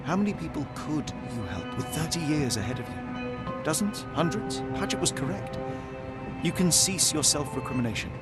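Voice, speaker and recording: An actor playing a cerebral, self-assured young detective in a video game, with a British accent and precise, theatrical delivery. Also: male voice, a little high, animated, moderate pace, lightly acted. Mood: firm, reassuring. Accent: British English. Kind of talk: dramatic video game cutscene acting. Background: music.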